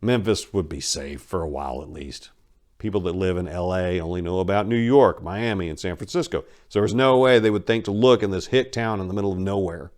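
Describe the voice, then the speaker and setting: A man's voice: deep voice, a little fast, darkish tone. A man giving a dramatic scripted reading of a crime short story as its narrator.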